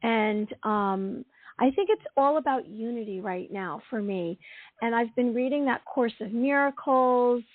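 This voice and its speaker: A woman with an East Coast American accent, co-hosting a spiritual radio show while still getting her voice back, speaking earnestly with pauses. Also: fairly high pitch, no echo. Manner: earnest, halting with fillers. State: recovering from lost voice. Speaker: co-host, intuitive wisdom coach. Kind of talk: casual spiritual radio talk. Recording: dry tinny phone-line sound.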